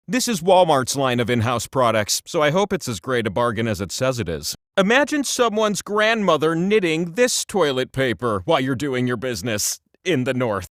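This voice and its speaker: Theatrical, high-pitched talking game show wheel. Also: male voice, a bit bright, no echo, studio quality. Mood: bemused, wry. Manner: theatrical, punchy delivery with sardonic asides. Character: sentient game show wheel, theatrical, cheeky, peevish trivia host. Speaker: quiz show host. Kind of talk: comedic video game voice acting, quiz patter.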